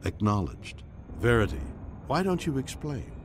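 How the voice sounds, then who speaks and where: An actor playing a formal, learned male spaceship AI, speaking in a measured, precise way for a game voice pack. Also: mid-range voice, sing-song, a little hiss or hum, close to an everyday voice. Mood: formal, obliging. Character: male ship's AI companion, formal, learned, helpful. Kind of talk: scripted game voice pack explanations. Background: music behind the voice.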